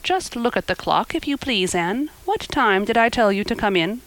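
A woman voicing a stern, practical older woman in a storybook, speaking in a brisk, scolding, matter-of-fact manner. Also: a little high, animated, a bit bright, no echo. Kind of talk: audiobook character acting.

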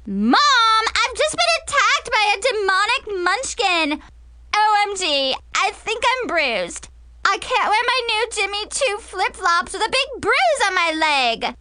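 A dramatic, spoiled teenage girl acted out by a woman audiobook reader, high and piercingly bright. She whines and shrieks in exasperation, swinging widely in pitch as if every little thing were a disaster. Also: very animated, a little slow, a little hiss or hum, full character voice. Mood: exasperated, whiny. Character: bratty, dramatic, self-absorbed teenage girl.

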